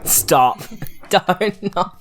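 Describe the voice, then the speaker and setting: Female player, New Zealand accent, low voice, very lively intonation, playful banter over light ukulele music. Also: extremely slow. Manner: jokey, giggly. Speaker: player in a tabletop game.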